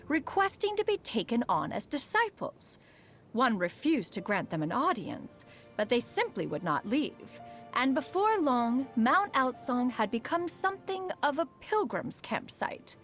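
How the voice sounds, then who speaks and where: A woman's voice: high voice, very animated, unhurried, faint music behind. A woman playing a proud, refined female immortal in a video game, speaking in a formal, stately manner, aloof yet fond beneath it. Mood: haughty, bemused. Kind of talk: scripted video game character monologue.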